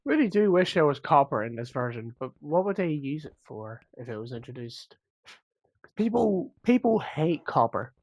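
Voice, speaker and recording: A man with a cold, sounding croaky and sniffly, rambling casually as he comments on his own gameplay, with self-mocking asides. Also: a little high, very animated, moderate pace, no echo. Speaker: gaming channel host.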